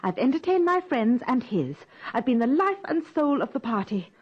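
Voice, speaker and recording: An actress playing a coolly controlling grown daughter in a radio drama, with a dry, clipped delivery. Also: female voice, high voice, big pitch swings, moderate pace, breathy edge, heavily acted. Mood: cool, calculating. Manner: dry, clipped, matter-of-fact. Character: cool, controlling grown daughter, dryly self-possessed. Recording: dull, lo-fi radio recording.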